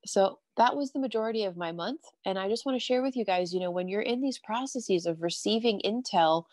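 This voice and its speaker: A woman's voice: mid-range voice, a little fast, a little mellow, no room sound, studio quality. A woman hosting a casual spiritual chat over a video call, a little stuffy from allergies, breezy and upbeat, often saying "you know" and "if you will". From California. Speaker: host.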